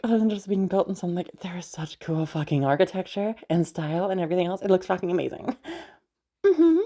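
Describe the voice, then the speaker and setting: A woman's voice: lowish voice, vocal fry, dark tone. A woman playing a chatty, friendly, easily flustered escort in a roleplay audio drama, rambling informally and swearing casually. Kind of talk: roleplay audio drama, casual improvised-sounding monologue to the listener.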